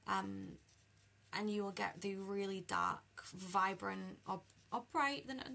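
A young British woman with a croaky voice chatting easily with live viewers while she colours at a craft desk. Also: on the high side, sharp tone. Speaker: card-making demonstrator. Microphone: overhead camera over a desk.

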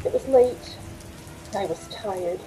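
A woman's voice: mid-range voice, slow pace, warm tone, slight background noise, close to an everyday voice, rough recording. A middle-aged woman playing a weary, wistful 1940s Hollywood carhop who confides her story in old-time radio drama style. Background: rain.

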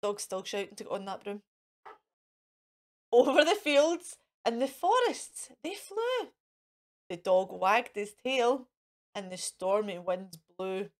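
A woman reading a children's storybook aloud in a theatrical, expressive, drawn-out manner. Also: mid-range voice.

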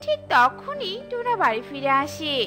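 A woman narrating a cartoon story in an expressive storybook manner. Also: high voice, normal speed, music in the background.

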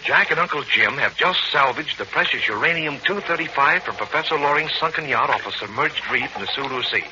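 A man's voice: fairly high pitch, expressive, brisk, sharp tone. An American man announcing on 1940s radio with a projected, hearty, emphatic scripted delivery. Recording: muffled noisy 1940s radio broadcast.

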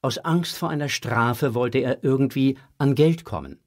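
A man's voice: mid-range voice, moderate pace. A man narrating a children's audio drama from a script.

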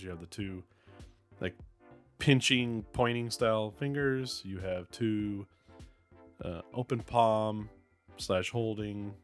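A man with a somewhat low voice, raspy and worn from a recent cold. He talks in a relaxed, offhand way, filling gaps with ums and uhs as he walks through a toy review. Faint music plays behind him. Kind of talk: casual unboxing review.

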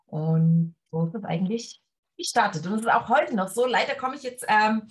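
A woman in her forties from Leipzig, a sewing teacher talking casually on a video call, relaxed with many fillers and hand gestures. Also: mid-range voice, moderate pace. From Leipzig, Germany. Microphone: webcam on a video call.

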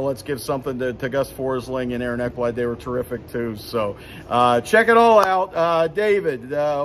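A man's voice: fairly high pitch, a little hiss or hum. A man in his fifties, hosting a casual postgame recap in a hockey arena, rambling with many fillers and restarts. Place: indoor hockey arena beside the rink. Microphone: phone camera at arm's length.

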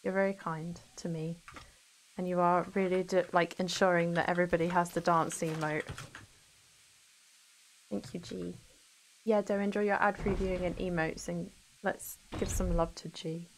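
A woman's voice: fairly low pitch, flat delivery, moderate pace, slight background noise, poor audio. Friendly woman streamer. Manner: chatty, friendly, greets viewers by name. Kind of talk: casual gameplay chat, reading chat aloud.

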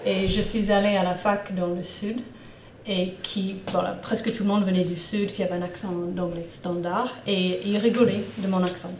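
A woman in her forties from northern England with a Manchester accent, speaking French as a guest in a public talk, calm and reflective with hesitations. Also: medium pitch, moderate pace, slight background noise. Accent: English, Manchester. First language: English. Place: small lecture room. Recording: roomy, distant, audience present.

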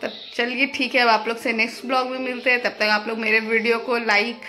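A woman's voice: fairly high pitch, flat delivery, a little fast, pushed and bright, soft background music. Chatty young woman vlogging on a phone. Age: young adult. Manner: chatty, upbeat, addresses viewers directly. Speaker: food vlogger. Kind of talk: casual vlog chat to camera. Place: street food stall outdoors and a room at home. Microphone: phone camera at arm's length.

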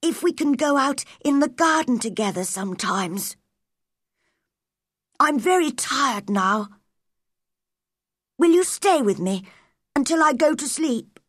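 A woman playing a sickly young boy in a storybook, by turns pleading, imperious and excitable, with a breathy delivery. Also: high voice, slow, pauses often, no echo, clean studio sound, pitched above the performer's own voice, exaggerated voice. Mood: tired, pleading. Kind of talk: storybook character reading.

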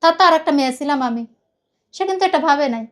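A woman's voice: high voice, animated, very fast. A woman from Khulna with a Bangladeshi accent, telling her personal story in a rambling, emphatic way and often repeating herself. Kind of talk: personal confessional account in conversation.

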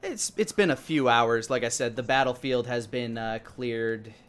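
A man in his thirties narrating a tabletop game as dungeon master, halting and pausing as he goes. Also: mid-range voice, a bit bright. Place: indoor room, online game stream. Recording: clear background noise on mic.

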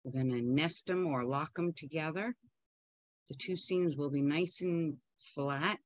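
A woman's voice: low-pitched, no room sound. A middle-aged woman teaching quilting in a casual, practical way, chatting as she pins and sews in her home sewing studio. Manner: chatty, practical, talks while working.

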